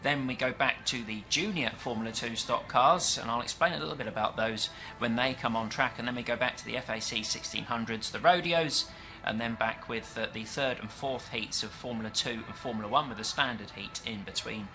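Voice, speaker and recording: Bright-voiced British race commentator. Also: male voice, medium pitch, brisk. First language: English. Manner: chatty and explanatory, with frequent fillers. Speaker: stock car race commentator. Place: at a dirt track event.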